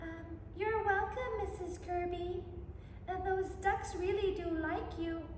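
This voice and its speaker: A nervous little storybook schoolboy , put on by a woman reader, pitched high and small. He stammers and hesitates as he tries to please, in a room with noticeable echo. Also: fairly even intonation, slow, a little mellow, far from the mic. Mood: shy. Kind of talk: children's picture book read-aloud. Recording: echoey room with faint noise.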